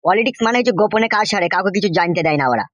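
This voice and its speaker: A man's voice: sits high, animated, racing, fairly bright tone, no echo. A man voicing a nosy, exasperated village uncle in a comic cartoon, impatient and questioning, with a rural West Bengal accent. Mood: goading. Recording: dull lo-fi sound.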